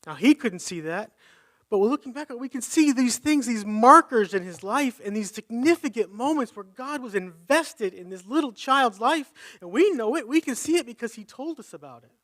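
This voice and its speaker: A man's voice: very high voice, expressive, normal speed, fairly bright tone. A man preaching a conversational sermon at a live church service, reading scripture and thinking aloud as he corrects himself.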